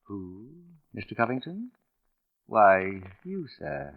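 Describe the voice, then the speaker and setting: An actor playing a suave, condescending writer and sleuth in a 1940s radio drama, speaking with pompous, theatrical precision. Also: male voice, fairly low pitch, huge pitch swings, slow, no echo, full character voice. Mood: accusing. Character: suave, condescending male writer turned shrewd accusing sleuth. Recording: dull vintage radio recording.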